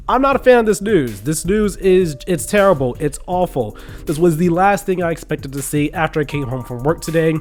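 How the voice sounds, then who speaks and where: Congested young man ranting about games. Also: on the high side. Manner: earnest, restarts and fillers, indignant. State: congested from a cold. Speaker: gaming news commentator. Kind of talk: casual video commentary.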